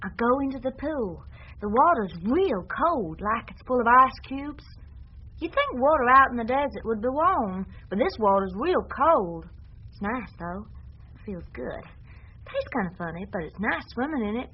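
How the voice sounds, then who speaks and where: A woman's voice: on the high side, big pitch swings, normal speed, dull audio, a little hiss or hum. An actress playing a trusting, stubborn, devout young woman in a radio drama, speaking in a plain, folksy, earnest way. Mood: wondering. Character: trusting, stubborn, devout one-legged young female dreamer.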